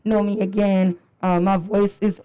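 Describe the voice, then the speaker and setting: A young woman with a hoarse, raspy voice, hosting a live panel at a fitness event in an upbeat, chatty way with lots of fillers. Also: medium pitch, level tone, measured pace, crowd noise, poor audio. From Chicago area. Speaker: panel moderator and fitness trainer.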